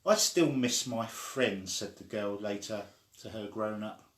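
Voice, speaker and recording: A man reading a children's story aloud in an expressive way, acting out the quoted lines. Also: fairly low pitch, unhurried, slight background noise. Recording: echoey room.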